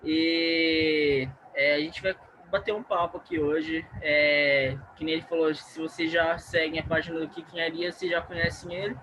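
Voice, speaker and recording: A man's voice: a little high, flat delivery, normal speed, bright, forceful tone, slight background noise, poor audio. A young Brazilian man with a Brazilian Portuguese accent, a podcast guest chatting casually and tagging sentences with "né". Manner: conversational, frequent "né" tags. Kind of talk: casual interview chat.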